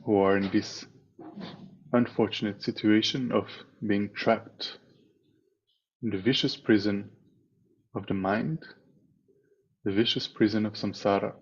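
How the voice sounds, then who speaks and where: A man leading a guided meditation as a teacher, speaking in a calm, measured way with long pauses between phrases. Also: fairly low pitch, slightly rough. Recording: dull, muffled online meeting audio.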